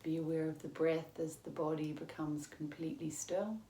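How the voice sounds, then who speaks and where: A woman yoga teacher leading a guided relaxation in a calm, measured, soothing manner with long pauses. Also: deep voice. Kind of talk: guided meditation, yoga nidra instruction. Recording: roomy echo with faint background noise.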